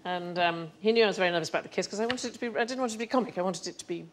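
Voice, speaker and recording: A middle-aged woman with a Danish accent, a panellist telling brisk, witty stories. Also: lowish voice, slight background noise. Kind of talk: comedy panel show banter.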